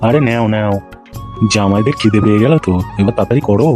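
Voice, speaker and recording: Man playing a fast-talking grumbling cartoon grandfather. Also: fairly low pitch, a little mellow, lightly acted. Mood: eager, matter-of-fact. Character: wary, grumbling old grandfather and father-in-law. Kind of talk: comedy cartoon dialogue. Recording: background music under dialogue.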